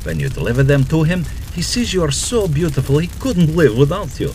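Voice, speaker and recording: A man's voice: fairly high pitch, sing-song, a little fast, a little mellow, slight background noise. A man serving as narrator, reading scripted audio drama narration in a storytelling style. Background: music.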